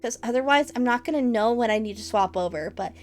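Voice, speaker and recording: A woman's voice: fairly high pitch, animated, fast. A woman livestreamer chatting casually with her viewers, teasing them playfully and laughing often. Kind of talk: casual stream chat with viewers.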